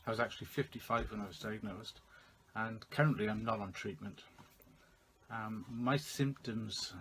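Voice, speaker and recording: A man's voice: lowish voice, moderate pace, a bit bright. A man in his late fifties with a British accent, giving a personal account as a patient. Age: 50s. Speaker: patient sharing experience. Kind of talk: personal testimony. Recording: faint background noise.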